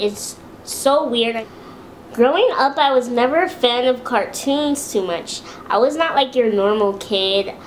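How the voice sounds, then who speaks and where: A young woman with a high-pitched voice, chatting casually to camera in a home video and talking about herself. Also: a little hiss or hum, low-quality recording. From Los Angeles, USA. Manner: chatty, relaxed, with many fillers and restarts. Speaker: beauty vlogger.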